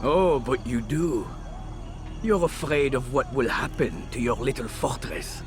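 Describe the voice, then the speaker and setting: A man's voice: medium pitch, a little slow, lightly acted. Man as a scheming, disdainful knight over music. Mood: smug contempt. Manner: disdainful, persuasive. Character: scheming knight lieutenant smoothly addressing his king. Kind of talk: video game cutscene acting.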